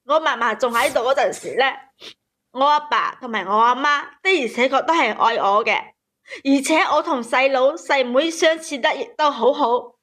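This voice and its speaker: High-voiced, plaintive woman caller. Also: normal speed, fairly bright tone. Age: 30s. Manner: plaintive, aggrieved. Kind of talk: personal storytelling on a call-in.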